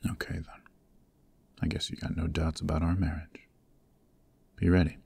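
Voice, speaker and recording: A man performs a young mafia heir in an ASMR roleplay, stern, cold and used to giving orders. His voice sits extremely low, with a dark, mellow tone and a gravelly creak. He speaks in clipped, menacing commands. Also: little pitch movement, moderate pace, exaggerated voice. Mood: stern, commanding. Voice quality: gravelly, very deep. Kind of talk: ASMR roleplay, scripted acting.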